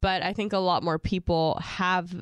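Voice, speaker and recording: A woman's voice: on the low side, steady tone, moderate pace, clear, resonant tone, no echo, clean studio sound. A young woman co-hosting a podcast. She talks casually and rambles with lots of fillers, and she is sniffling from allergies. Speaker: podcast co-host. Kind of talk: casual chat.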